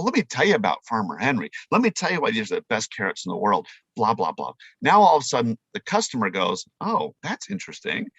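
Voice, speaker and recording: A man's voice: medium pitch, slightly rough, no room sound, poor audio. A man who works as a chef and presenter, talking in a casual, playful, emphatic interview style. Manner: animated, informal, playful, emphatic.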